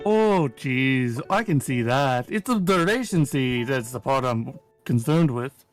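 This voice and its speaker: A man's voice: medium pitch, expressive, a little slow, faint music behind, pitched below the performer's own voice, lightly acted. A man voicing a chummy, protective young manservant with a Canadian accent and folksy, slangy phrasing that ends in "eh". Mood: concerned.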